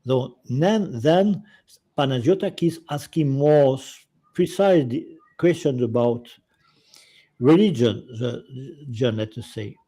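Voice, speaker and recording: A man's voice: mid-range voice, animated, slow pace, warm tone. A man interviewing a guest. He speaks hesitantly, breaking off and restarting his phrases. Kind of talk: casual interview, partly reading aloud.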